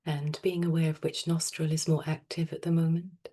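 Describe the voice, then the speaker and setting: Low, mellow woman guiding meditation. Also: flat delivery. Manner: soft, soothing, unhurried with long pauses. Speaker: meditation teacher. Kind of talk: guided meditation.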